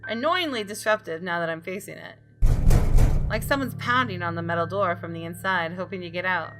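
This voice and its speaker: A woman reading a visual novel aloud as expressive, dramatic storytelling for a gaming video. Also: lowish voice, fairly quick. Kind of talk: expressive scripted narration with brief asides. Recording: game music behind the voice. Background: soft game music.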